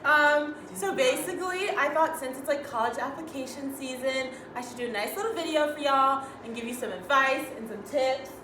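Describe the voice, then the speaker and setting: A woman's voice: high-pitched, moderate pace, few pauses. A young woman from Los Angeles hosting a casual group chat with friends, reading out tips and laughing along. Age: young adult. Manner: chatty, laughing, reads tips aloud. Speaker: host. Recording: echoey room, background noise, far from mic.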